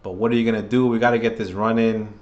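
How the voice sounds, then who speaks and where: A man giving casual, chatty play-by-play for a live chat, seated at a table at home, sounding under the weather with a voice getting hoarse. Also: fairly low pitch, little pitch movement, fast, a little mellow, lo-fi sound. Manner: chatty, hyped, keeps rallying the chat. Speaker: fan livestream commentator.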